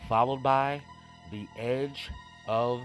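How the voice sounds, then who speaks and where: A man hosting a vintage radio horror show, introducing it with deliberate, dramatic pauses and casual asides. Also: medium pitch, fairly even intonation, fairly bright tone, faint music behind. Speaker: host of old-time radio horror show.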